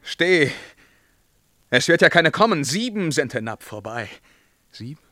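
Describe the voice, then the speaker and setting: An actor playing a tormented, obsessed hunter in a German radio verse play, theatrical and declamatory, moving between outcry and whisper. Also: male voice, a little high, very animated, measured pace, sharp tone, dry recording, clean studio sound, heavily acted. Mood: agitated, driven. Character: tormented, obsessed male hunter, feverish and driven.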